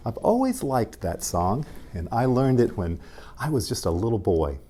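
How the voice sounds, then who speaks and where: A man, a pastor, reading aloud to young children in gentle, simple language and addressing them directly. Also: mid-range voice, sing-song, moderate pace, slightly rough, soft background music.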